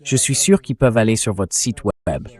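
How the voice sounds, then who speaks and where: A man's voice: on the low side, expressive, normal speed, darkish tone, husky. Synthetic male talk show host voice. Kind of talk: translated interview voice-over read by synthetic voice.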